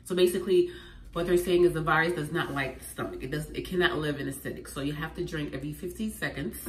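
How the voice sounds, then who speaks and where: A woman with a head cold, sounding congested, sharing home remedy tips in an animated, emphatic vlog at home. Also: sits low. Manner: animated and emphatic, repeating phrases. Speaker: lifestyle vlogger. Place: at home indoors. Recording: echoey room, faint noise.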